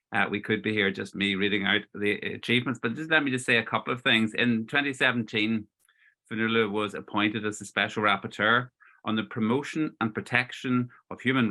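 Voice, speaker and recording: A man hosting an interview, speaking in a halting, conversational way. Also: lowish voice, sharp tone. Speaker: interviewer and event host. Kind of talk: introduction and interview questions.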